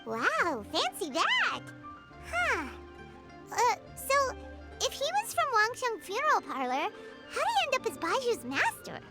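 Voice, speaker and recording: A woman voicing a small childlike fairy companion in a video game, squeaky, perky and inquisitive. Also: huge pitch swings, unhurried, full character voice. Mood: surprised, curious. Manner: refers to self in third person, perky, inquisitive. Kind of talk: cartoonish video game voice acting. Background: music.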